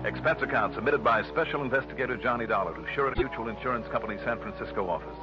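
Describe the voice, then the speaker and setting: An actor playing a breezy, wisecracking American insurance investigator in 1960s radio drama style, with a snappy, casual delivery. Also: male voice, mid-range voice, rapid-fire, over music, close to an everyday voice. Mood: matter-of-fact narrating. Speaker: lead actor and narrator. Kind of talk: 1960s radio drama acting with first-person narration. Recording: muffled, noisy vintage radio broadcast.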